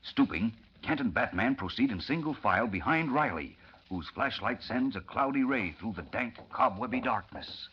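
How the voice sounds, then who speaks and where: A man's voice: medium pitch, animated, normal speed, highs cut off, slight background noise. A man narrating a vintage radio drama in an emphatic, suspenseful scripted style.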